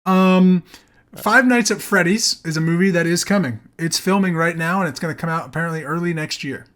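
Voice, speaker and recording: Higher-voiced man, casual podcast host. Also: a little fast, a bit bright. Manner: enthusiastic, swears casually. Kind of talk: casual chat, running through entertainment news.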